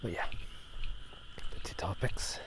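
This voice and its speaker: A man speaking in soft whispers for a casual ASMR gaming chat outdoors at night, unscripted and rambling, often correcting himself. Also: unhurried, rough recording. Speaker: video host. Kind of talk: whispered ASMR chat about video games. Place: outdoors at night near rice fields.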